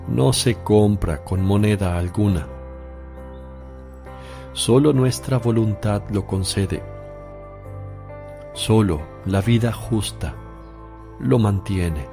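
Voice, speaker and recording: Slow, solemn male master. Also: on the low side, gravelly, mellow, faint music behind. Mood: solemn instructing. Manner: measured, solemn, exhortative. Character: solemn, authoritative male religious master instructing his disciples. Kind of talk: religious radio drama acting.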